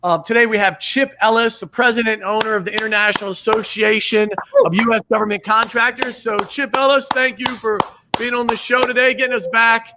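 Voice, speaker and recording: A man's voice: high voice, fairly even intonation, noisy background. A man hosting a podcast, joking and bantering with his guests in casual chat. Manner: joking, quick back-and-forth banter. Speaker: podcast host.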